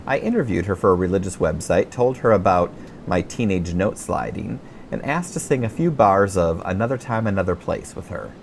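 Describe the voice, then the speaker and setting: A middle-aged man with an American accent, reading his own humorous memoir as an audiobook with wry, expressive storytelling. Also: low-pitched, normal speed, gravelly, slight background noise.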